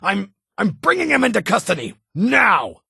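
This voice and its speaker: An actor playing a stern, guarded army lieutenant colonel in a video game, with formal, clipped, duty-bound delivery and a gravelly edge. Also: male voice, sits high, sing-song, normal speed, bright, forceful tone, no echo, studio quality, full character voice. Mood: angry, alarmed. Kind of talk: video game dialogue acting.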